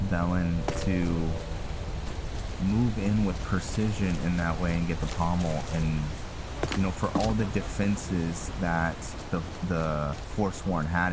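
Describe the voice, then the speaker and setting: A man giving casual commentary on his own video game playthrough, musing and halting as he thinks aloud. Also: deep voice, noisy background. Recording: loud game music behind the voice. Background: loud game music.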